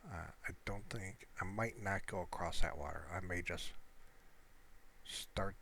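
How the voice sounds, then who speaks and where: Very deep-voiced man, gravelly, thinking aloud. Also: a little slow. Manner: rambling, hesitant, thinking aloud. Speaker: amateur gaming video creator. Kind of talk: casual unscripted gameplay commentary. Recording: steady background noise.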